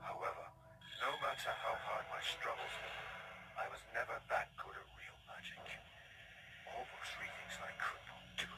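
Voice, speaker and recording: A man voicing a sly, menacing sorcerer pony king in a dramatic comic dub, slow and condescending with a breathy, gravelly rasp. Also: lowish voice, fairly even intonation, very noisy. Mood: brooding.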